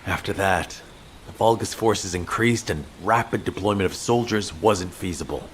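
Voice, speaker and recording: An actor playing an earnest field officer in a video game, explaining an operation in an orderly, matter-of-fact way. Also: male voice, deep voice, normal speed, a little hiss or hum, natural voice. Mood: concerned. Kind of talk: video game briefing dialogue.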